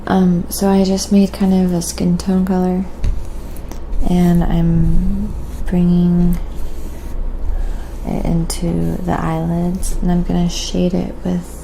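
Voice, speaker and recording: A soft-spoken woman chatting gently and unhurriedly on a quiet ASMR painting livestream, with a creaky, sometimes breathy voice. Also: lowish voice, completely flat delivery, takes it slowly, poor audio. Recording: clearly audible background noise.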